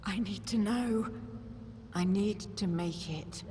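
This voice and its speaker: An actress playing a frightened but determined woman in a horror game, hushed and halting as she questions herself. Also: medium pitch, faint music behind, natural voice. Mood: grim, determined. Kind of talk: dramatic video game acting with introspective monologue.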